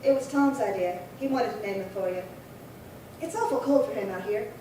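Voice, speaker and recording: A gentle frontier mother played by a woman speaks politely in a high, soft voice. The radio play is set back from the mic with very heavy echo. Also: brisk, lightly acted. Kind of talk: Western radio drama acting. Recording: echoey hall, distant mic, rumbly noise.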